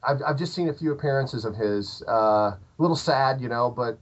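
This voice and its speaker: Rambling southern Illinois musician, muffled. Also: male voice, mid-range voice, moderate pace. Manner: rambling, lots of fillers and restarts. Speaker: podcast guest, musician. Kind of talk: casual interview chat. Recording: dull lo-fi podcast audio.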